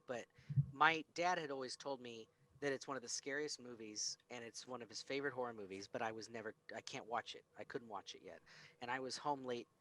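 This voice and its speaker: An American man on a podcast, telling personal stories in casual film discussion with an animated, acted-out delivery. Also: medium pitch, slight background noise, low-quality recording. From United States, grew up in Springfield and Texas. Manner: animated storyteller, acts out quoted lines. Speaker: podcast co-host.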